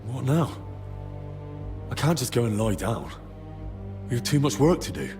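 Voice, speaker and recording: Man playing young male game hero, animated mid-range voice, frank, wry, persistent, music behind. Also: mid-range voice, moderate pace, lightly acted. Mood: worried. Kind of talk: video game cutscene acting. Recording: reverberant, clear music behind.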